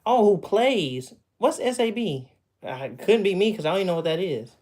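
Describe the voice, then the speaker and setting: Chatty man with a fairly high voice. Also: big pitch swings, brisk, darkish tone. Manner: loose and chatty, laughs often, trails off and restarts. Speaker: streamer chatting with viewers. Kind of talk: casual livestream chat. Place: at home with memorabilia behind him.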